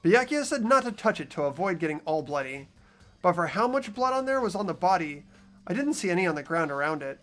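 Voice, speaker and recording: A man's voice: high-pitched, big pitch swings, a little fast, fairly bright tone, faint music behind. A man voicing an earnest, puzzled teenage boy who thinks aloud while investigating in a visual novel game. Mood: thoughtful. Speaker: amateur voice actor in a fan playthrough. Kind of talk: fan-dubbed visual novel acting and narration.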